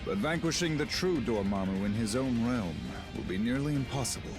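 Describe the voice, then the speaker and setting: An actor playing a commanding, grandiose sorcerer in a video game, speaking in a theatrical, solemn manner. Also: male voice, mid-range voice, expressive, a little fast, close to an everyday voice. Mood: confident. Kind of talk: video game hero acting. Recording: music behind the voice.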